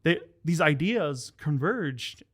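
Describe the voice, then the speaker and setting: A man's voice: a little high, animated, takes it slowly, a bit bright, slightly rough. A man hosting a podcast, chatty and joking in casual conversation, often repeating words as he goes. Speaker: podcast host.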